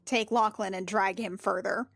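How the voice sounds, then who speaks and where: A woman's voice: medium pitch, fairly even intonation, normal speed, a bit bright, no echo. A woman speaking informally and playfully as a player in a tabletop roleplaying game, describing what her character does. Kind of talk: casual in-game chat, describing character's actions.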